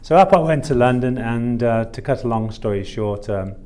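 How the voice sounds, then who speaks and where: A man's voice: medium pitch, lively intonation, moderate pace, darkish tone. A man in his sixties from Wales, telling stories conversationally as a podcast guest, with courteous, old-fashioned phrasing. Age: 60s. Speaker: podcast guest, shipping consultant. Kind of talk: conversational storytelling.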